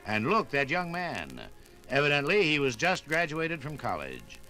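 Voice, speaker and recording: Male 1950s American radio host, sponsor spot. Chatty, direct, slightly high voice with lively swings, vintage recording. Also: moderate pace, faint music behind. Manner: folksy, chatty, addresses listener directly. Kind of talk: 1950s radio advertising pitch.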